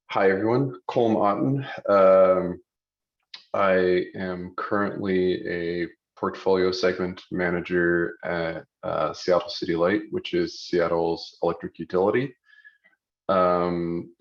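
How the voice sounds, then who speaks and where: A man in his forties from Belgium with a Flemish accent, Flemish being his first language, introducing himself on a panel in a deliberate way, pausing often. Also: deep voice. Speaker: panelist, utility program manager. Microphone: video call. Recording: dull lo-fi video call audio.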